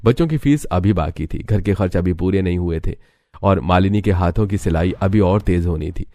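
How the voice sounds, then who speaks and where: A man's voice: deep voice, normal speed, warm tone. A man reading scripted storytelling narration for a cartoon.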